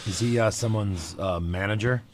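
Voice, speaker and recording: A man in his forties with a hoarse, nearly gone voice, joking in a low tone as a podcast co-host. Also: normal speed. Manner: low, gravelly joking delivery.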